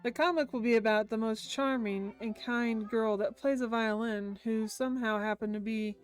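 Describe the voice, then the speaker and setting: Higher-pitched woman streamer reading aloud. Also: fairly even intonation, normal speed, faint music behind. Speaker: game streamer. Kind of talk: reading visual novel translation aloud with commentary.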